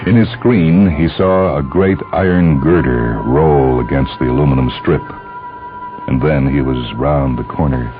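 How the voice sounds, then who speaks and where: A man's voice: rumbling low, a little slow. A man reading a scripted story as a dramatic audiobook narrator. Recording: dull, band-limited lo-fi audio. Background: clear background music.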